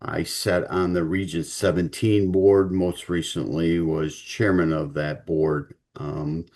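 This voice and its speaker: Deep-voiced commissioner introducing himself. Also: male voice, darkish tone. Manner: earnest, polite. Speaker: county commissioner. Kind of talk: self-introduction.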